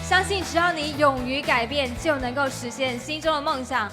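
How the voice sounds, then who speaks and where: A woman's voice: high voice, moderate pace, music in the background. A woman emceeing a large convention, leading the crowd with upbeat call-and-response. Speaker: event emcee.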